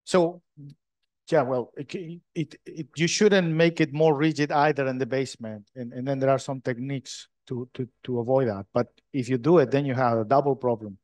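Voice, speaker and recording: A man from Chile with a Chilean Spanish accent, answering audience questions after a lecture, halting and restarting often. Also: mid-range voice, fairly even intonation, darkish tone, no room sound. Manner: halting, many restarts, non-native phrasing. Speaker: lecturer in structural design.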